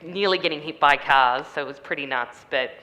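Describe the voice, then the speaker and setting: A woman's voice: sits low, brisk, pushed and bright, muffled recording. A middle-aged Australian woman speaking as a guest on stage, chatty and upbeat with many small fillers. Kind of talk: stage interview. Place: stage in a large hall. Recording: echoey hall with faint noise.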